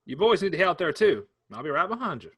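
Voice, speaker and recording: A man's voice: high voice, big pitch swings, fast talker, sharp tone, husky, full character voice. A man reading a wry farmer uncle. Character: easygoing middle-aged farmer uncle. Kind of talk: staged play reading.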